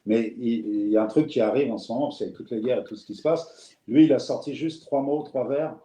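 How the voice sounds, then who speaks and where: A man's voice: mid-range voice, fairly quick, dark tone. A man with a Parisian French accent hosting a live chat, talking in a rambling, reflective way with many fillers and restarts. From France. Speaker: host. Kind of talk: casual live conversation. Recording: roomy echo.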